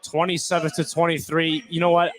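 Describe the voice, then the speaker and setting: A man's voice: fairly high pitch, flat delivery, fairly quick, fairly bright tone. A man giving casual sports analysis as a color commentator.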